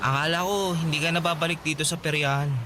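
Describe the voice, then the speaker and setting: Man playing a high-pitched lovestruck carnival boy. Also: fairly even intonation, fast, noisy background, lightly acted. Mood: shy, earnest. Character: Ronnie, eager lovestruck young carnival boy. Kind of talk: Tagalog radio romance drama acting.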